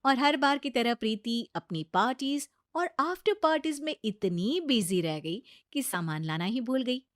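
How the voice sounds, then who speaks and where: A woman narrates a scripted story. Her voice is high and moves up and down in an animated way. The recording is very dry, with no room sound. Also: unhurried, no room sound.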